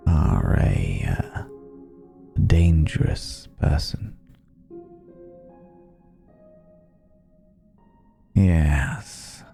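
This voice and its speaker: A man playing an old aristocratic male vampire, gravelly, deeply mellow, refined, lingering pauses, quiet menace, close ASMR roleplay. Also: exaggerated voice. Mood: wry, confiding. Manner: genteel, unhurried, savouring pauses and words. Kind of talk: ASMR roleplay, intimate second-person acting.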